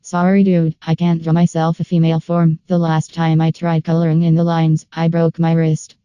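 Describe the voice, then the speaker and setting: A man voicing a flippant, unbothered artist with a casual, deadpan delivery. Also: fairly high pitch, moderate pace, smooth, no echo, muffled recording, lightly acted.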